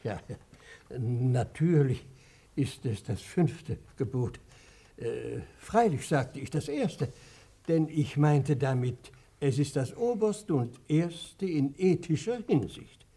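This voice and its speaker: An actor playing an earnest, self-justifying pastor in a German radio play, sermonizing with deliberate emphasis. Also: male voice, on the high side, lively intonation, dark tone, breathy edge, heavily acted. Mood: preachy.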